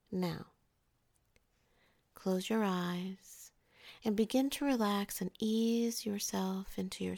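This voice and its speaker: A woman narrating a scripted guided meditation in a soothing, measured way, softening the ends of her phrases. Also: mid-range voice.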